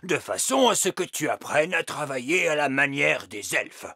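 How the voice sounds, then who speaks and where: A man voicing a stern, pompous old elf schoolteacher in a children's cartoon, speaking formally and deliberately. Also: a little high, lively intonation, creaky, pushed and bright, breathy edge, no room sound, studio quality, full character voice. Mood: instructive. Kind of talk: dubbed children's cartoon acting.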